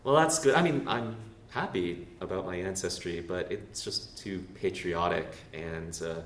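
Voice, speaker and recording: A man's voice: low voice, a little slow. A young man from Canada giving a casual, self-deprecating church talk with slides, full of fillers and asides. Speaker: presenter. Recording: roomy, far from the mic, faint noise.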